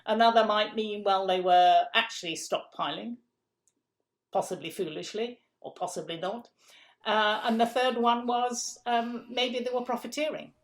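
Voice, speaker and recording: A woman's voice: a little high, moderate pace. A middle-aged woman from England, a guest in an interview, explaining food systems step by step with emphatic repeats.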